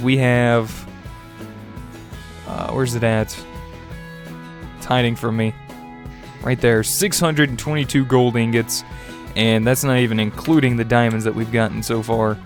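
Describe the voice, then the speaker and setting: Stuffy young man narrating gameplay. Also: mid-range voice. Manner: chatty, upbeat, with little laughs and fillers. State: stuffy, congested. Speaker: gaming video host. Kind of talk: casual let's-play commentary. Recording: faint music bed behind voice.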